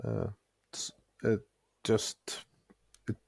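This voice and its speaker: A man's voice: very slow, a little mellow, no room sound, clean studio sound. A man co-hosting a gaming podcast who talks hesitantly and searches for words while giving critical opinions. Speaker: podcast co-host. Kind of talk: casual gaming podcast chat.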